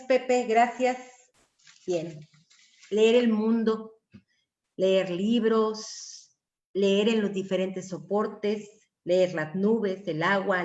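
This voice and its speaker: A woman's voice: mid-range voice, a little mellow. Echoey Mexican woman hosting a panel. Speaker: host and moderator. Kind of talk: informal live panel hosting. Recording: echoey room, dull lo-fi call audio.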